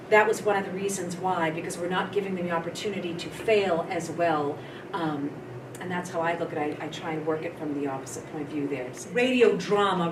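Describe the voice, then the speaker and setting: A woman drama teacher leading a hands-on workshop, coaching and demonstrating in a theatrical, animated way. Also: medium pitch. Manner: theatrical, animated, demonstrates lines in exaggerated delivery. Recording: echoey room, distant mic, noisy background.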